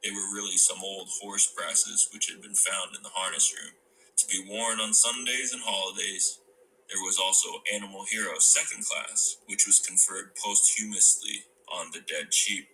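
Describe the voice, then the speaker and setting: A man's voice: sits low, steady tone, moderate pace, bright, forceful tone, slight background noise, poor audio. A man reading a novel aloud as scripted storybook narration.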